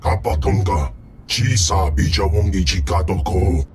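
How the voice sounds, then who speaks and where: Huge, very deep alien crime lord. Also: male voice, lively intonation, unhurried, a little mellow, slight background noise. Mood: haughty, dismissive. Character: huge haughty male alien slug crime lord, self-indulgent. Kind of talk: video game dialogue in an invented alien tongue.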